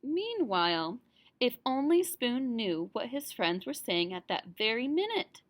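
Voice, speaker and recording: A woman teacher reading a picture book aloud to young children in an expressive storytelling style. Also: fairly high pitch, a little slow, no room sound. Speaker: teacher reading to kindergarten pupils.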